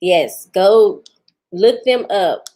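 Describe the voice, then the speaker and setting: A woman in her forties chats loosely with her live audience in a drawl. She laughs easily and teases the viewers who comment. Her voice sits at an ordinary middle pitch for a woman. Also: animated, very slow, mellow. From Houston, Texas. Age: 40s. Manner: chatty, laughs often, talks back to viewers. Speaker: vlogger answering live chat. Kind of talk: casual live-stream chat.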